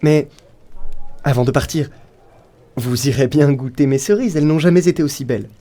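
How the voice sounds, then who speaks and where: Man playing a mellow, wily old blacksmith. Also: on the high side, moderate pace, close to an everyday voice. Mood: sly geniality. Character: wily, stubborn old male village blacksmith. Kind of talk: French literary audio drama.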